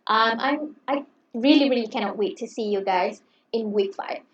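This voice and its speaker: Woman teacher, non-native English, fairly high voice, encouraging and chatty, recorded in a roomy space with muffled sound. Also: moderate pace, a little hiss or hum. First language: not English. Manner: encouraging, chatty, repeats "okay". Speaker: English lecturer. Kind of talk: online class introduction. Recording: roomy, distant, dull sound.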